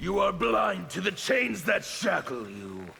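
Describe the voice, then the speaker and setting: An actor playing a fanatical anarchist revolutionary in a fighting game, with a gravelly, menacing, deliberate delivery. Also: male voice, sits high, big pitch swings, big-room sound, over loud music, full character voice. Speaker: video game character. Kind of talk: dramatic fighting game dialogue.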